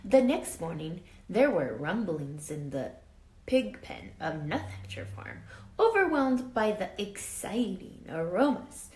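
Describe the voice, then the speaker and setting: A woman reading a children's picture book aloud expressively, with dramatic emphasis and hushed asides, pausing to question young listeners like a teacher. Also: fairly low pitch, very animated. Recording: roomy echo with faint background noise.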